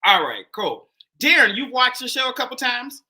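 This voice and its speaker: A man with a Pittsburgh accent hosts a live call-in dating show, loud, animated and chatty. His pitch swings widely, and his tone is very bright and forceful. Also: moderate pace. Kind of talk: casual live-stream chat.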